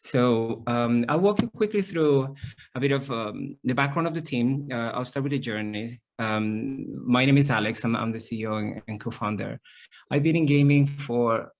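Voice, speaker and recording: A middle-aged man from Chile, a native Spanish speaker with a Chilean accent, lively and storytelling as a company CEO in a call interview. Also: mid-range voice, moderate pace, low-quality recording. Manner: lively, storytelling, frequent restarts. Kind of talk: business interview on a video call.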